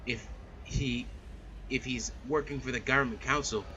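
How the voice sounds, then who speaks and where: A man's voice: mid-range voice, steady tone, moderate pace, pushed and bright, highs cut off, a little hiss or hum. A man hosting a let's play, reading a visual novel aloud and adding casual commentary. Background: game music.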